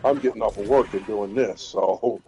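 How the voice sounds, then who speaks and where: A man in his fifties chatting over a headset while gaming, relaxed and reminiscing. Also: on the low side, moderate pace, husky. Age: 50s. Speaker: fellow player in voice chat. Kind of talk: casual gaming chat.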